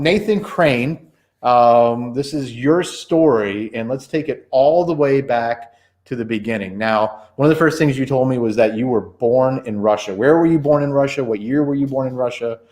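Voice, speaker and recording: A man's voice: medium pitch, expressive, normal speed, darkish tone. A man in his forties hosting a casual church livestream interview, chatty and rambling with many fillers and restarts.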